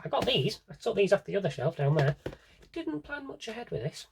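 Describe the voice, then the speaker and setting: A man with a slightly husky voice rambles casually to viewers as a collector, thinking aloud and interrupting himself in a wry way. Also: sits high, very animated, brisk, background noise. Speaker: collector showing his audio drama CDs. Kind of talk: casual rambling vlog chat.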